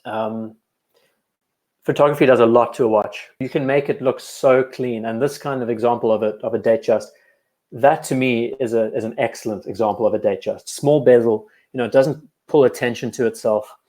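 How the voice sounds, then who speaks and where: A young man from Cape Town chats casually on a livestream, his South African accent clear. He sounds relaxed and keen, trailing off into fillers and repeating himself as he thinks aloud. His voice sits at a middle pitch for a man. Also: brisk. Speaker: livestream host.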